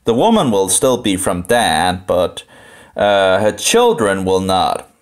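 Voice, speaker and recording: A Danish man with a Danish accent thinks aloud through informal Bible commentary, halting and rambling as he repeats phrases. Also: low-pitched, very animated. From Denmark. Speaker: live streamer studying the Bible.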